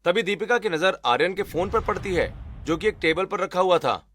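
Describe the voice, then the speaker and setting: A man's voice: high voice, expressive, normal speed, a bit bright, a little hiss or hum. A man reading scripted narration for a cartoon story.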